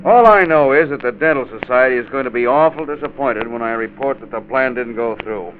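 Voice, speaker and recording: A man's voice: mid-range voice, a little fast, lightly acted. Tinny old radio man acting, worried and resigned. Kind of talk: 1940s radio drama acting. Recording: narrow-band, noisy old radio recording.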